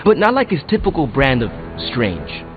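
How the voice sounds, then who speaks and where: A man voicing a jokey, excitable hero in a video game, with a playful delivery. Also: mid-range voice, normal speed. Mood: joking. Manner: playful, animated. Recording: tinny band-limited game audio over music.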